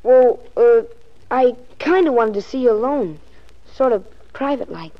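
A woman plays a twelve-year-old newsboy in an old-time radio drama. The voice is boyish and a bit high, earnest and businesslike in a streetwise way. The 1940s recording sounds slightly dull. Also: animated, normal speed, natural voice. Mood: confiding.

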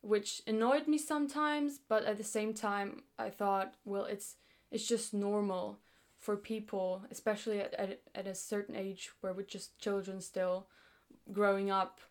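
A woman's voice: medium pitch, fairly even intonation. Young woman chatting as a guest. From Greece. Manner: informal, halting and explanatory, many repeats of "like". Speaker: podcast guest. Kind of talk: casual interview chat.

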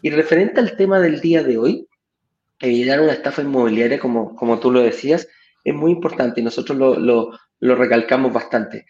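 Man, Chilean accent, medium pitch, casual co-host chat, dull lo-fi recording. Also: a little fast, darkish tone. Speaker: co-host and business partner. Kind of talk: casual live show talk. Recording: dull, lo-fi audio.

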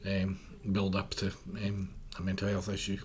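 A man's voice: deep voice, completely flat delivery, normal speed, slight background noise. A man, speaking earnestly as a guest on a podcast and often restarting his sentences while he gives advice. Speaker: mental health charity policy director.

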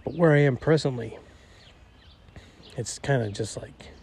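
A middle-aged man with a nasal voice hosting a podcast on his own, rambling and self-deprecating, talking quietly outdoors. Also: medium pitch, measured pace, creaky, a little hiss or hum. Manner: quiet, not projecting. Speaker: podcast host. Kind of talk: casual solo monologue.

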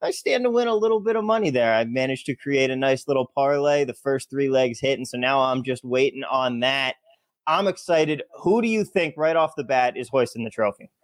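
A man's voice: mid-range voice, expressive, a little fast, no echo. An American man hosting a betting podcast, upbeat and a bit stumbling. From United States. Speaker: podcast host. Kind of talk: podcast interview.

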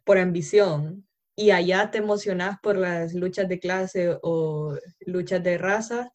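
A woman's voice: lowish voice, measured pace, a little mellow. A young woman with a Honduran Spanish accent, earnestly explaining and arguing her point in a casual conversation. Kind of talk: casual conversation on social issues.

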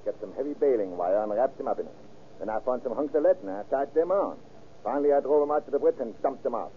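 An actor playing a cocky, stubborn, mean killer who confesses casually in folksy slang, in old radio crime drama style. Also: male voice, mid-range voice, lively intonation, fast talker, natural voice. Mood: casual confessing. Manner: breezy, unrepentant, folksy slang. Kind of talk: 1930s-40s radio crime acting. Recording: tinny, noisy old radio recording.

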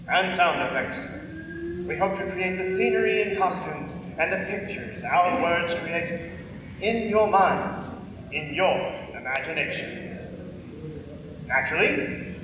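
Distant, very echoey male radio-show announcer. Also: high voice. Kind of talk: scripted old-time radio show introduction. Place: live performance in a hall before an audience. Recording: echoey noisy hall from far off.

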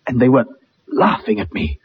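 An actor playing a bitter, haunted young ex-soldier in a dramatic 1960s radio play. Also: male voice, normal speed, a little hiss or hum, close to an everyday voice. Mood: haunted, horrified. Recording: muffled, dull old radio recording.

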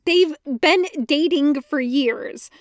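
A woman's voice: sits high, takes it slowly, dry recording, clean studio sound. A woman voicing an exasperated, impulsive young man in an audio story, whiny and emphatic. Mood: exasperated, insistent.

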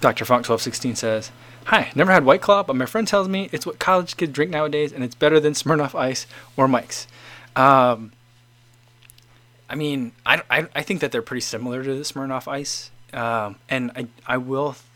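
A man's voice: medium pitch, moderate pace, a bit bright. A man in his forties casually chatting as host, reading out viewer comments and rambling with many restarts. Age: 40s.

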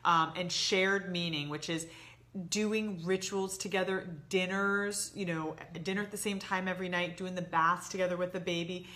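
Middle-aged woman, bright forceful voice. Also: on the low side, steady tone, normal speed. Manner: explanatory, illustrates points with acted-out example phrases. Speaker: co-host giving relationship advice. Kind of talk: conversational advice talk.

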